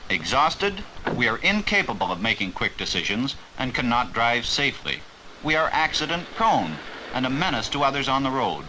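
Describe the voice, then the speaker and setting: A man giving scripted, measured narration for an instructional training film from the 1950s, stressing key words as he explains. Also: medium pitch, very animated, background noise.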